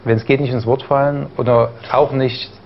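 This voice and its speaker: A man's voice: medium pitch, steady tone, normal speed. A young man from East Germany, a talk show guest who stutters now and then and talks with many fillers and restarts. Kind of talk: studio interview. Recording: dull broadcast audio with faint noise.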